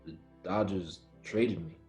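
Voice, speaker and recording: Male actor, lowish voice, proud intense sports-hero dad, table-read drama over music. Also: takes it slowly, darkish tone. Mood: stunned, subdued. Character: proud, demanding baseball-star father, earnest and intense.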